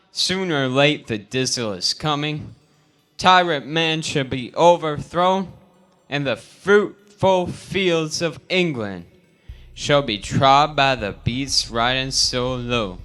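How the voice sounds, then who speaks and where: A man voicing an old male farm pig elder in a parody storybook, a solemn visionary with declamatory, drawn-out, slang-heavy speech. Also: on the high side, fairly bright tone. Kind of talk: parody storybook character reading.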